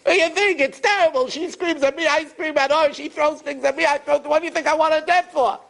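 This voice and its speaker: A man doing a shrill loud boastful rich man. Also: steady tone, fairly quick, gravelly, exaggerated voice. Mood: complaining. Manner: shrill, indignant, exclaiming.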